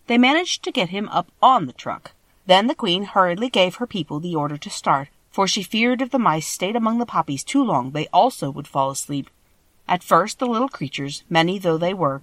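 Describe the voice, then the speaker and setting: A woman's voice: on the low side, fairly bright tone, dry recording. A woman reading a children's story as scripted audiobook narration.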